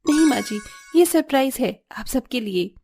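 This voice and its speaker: A woman's voice: high voice, expressive, normal speed, gravelly, darkish tone, soft background music. A woman voicing a cheerful, affectionate young bride in a cartoon family story.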